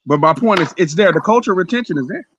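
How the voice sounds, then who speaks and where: A man's voice: high-pitched, animated, fast talker, fairly bright tone, dull audio. A man hosting a livestream, arguing heatedly and repeating himself, sometimes swearing. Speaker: livestream host. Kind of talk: heated casual debate.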